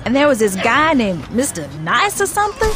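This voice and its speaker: A woman's voice: a little high, wildly swooping pitch, normal speed. An actress playing a brash, foul-mouthed female gang boss in a video game, defiant and irreverent. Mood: woozy. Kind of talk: video game cutscene acting. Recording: noisy game soundtrack with vehicles.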